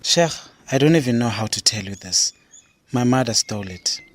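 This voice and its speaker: A man's voice: mid-range voice, animated, moderate pace, natural voice. A man playing a respectful, pleading young man accused of theft in an audio drama. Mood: anxious, reluctant.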